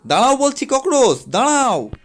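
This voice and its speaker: A man voicing an excitable, flustered cat barber in a comic cartoon dub, exclaiming dramatically over music. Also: extremely high pitch, moderate pace. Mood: flustered panic. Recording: music under the voice.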